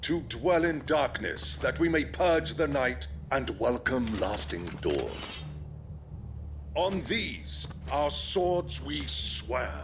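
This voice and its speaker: An actor playing a fanatical, menacing enemy soldier in a video game, with a solemn and ominous manner. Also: male voice, mid-range voice, big pitch swings, noisy background. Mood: zealous, solemn. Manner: slow, solemn, ominous oath-like delivery. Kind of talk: video game cutscene acting.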